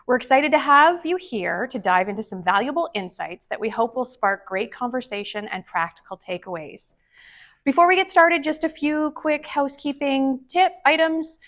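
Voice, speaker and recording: A woman opens a conference breakout session as moderator in a meeting room. She reads her upbeat, formal introduction with a few small stumbles. Her voice sits a little higher than most. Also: expressive, normal speed, a bit bright. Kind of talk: reading a scripted introduction.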